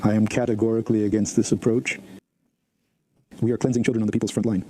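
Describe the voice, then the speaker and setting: A man answering on a TV talk show in flat, broken statements. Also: on the low side, fast, poor audio. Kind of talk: broadcast TV talk segment.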